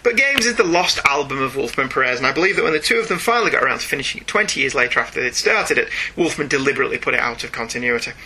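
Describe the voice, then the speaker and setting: A man hosting a podcast, chatting casually and reading out listener emails in a chatty, animated way. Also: medium pitch, very fast, few pauses, slight background noise. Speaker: podcast host.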